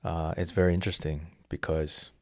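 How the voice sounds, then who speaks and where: Low-voiced man explaining martial arts philosophy. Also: flat delivery, a little fast, darkish tone, no room sound, clean studio sound. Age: 30s. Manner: earnest, explanatory, with many restarts. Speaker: jiu-jitsu instructor. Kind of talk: casual interview talk.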